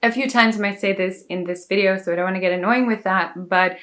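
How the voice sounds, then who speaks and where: A woman's voice: medium pitch, quick pace, a bit bright. A woman from South Africa with an accent shaped by years in Dubai, chatting to camera in a vlog and explaining things step by step. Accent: South African with a Dubai-influenced lilt. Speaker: vlogger. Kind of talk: casual talking-to-camera vlog.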